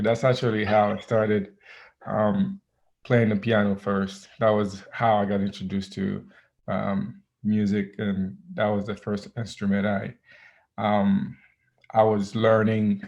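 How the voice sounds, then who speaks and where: A man, a guest DJ chatting casually in an interview, relaxed and full of ums and restarts. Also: fairly low pitch, creaky. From Haiti. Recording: dull lo-fi audio.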